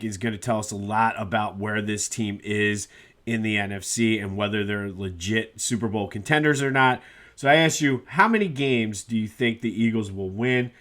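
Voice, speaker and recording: A man's voice: lowish voice, a bit bright. A man hosting a solo sports podcast, a bit congested and nasal from a cold, talking casually with lots of "uh" fillers. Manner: conversational, with frequent "uh" fillers.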